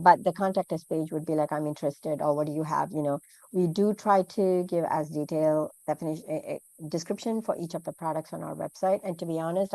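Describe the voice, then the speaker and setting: A middle-aged woman from Malaysia, a food business owner answering interview questions in a quick, chatty way with lots of "you know". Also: low voice, a little mellow, no echo. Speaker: guest, food entrepreneur.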